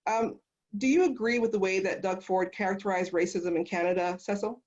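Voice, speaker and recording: A woman's voice: medium pitch, fairly quick, darkish tone. A woman moderating a panel discussion in a conversational way over a video call. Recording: muffled video call audio.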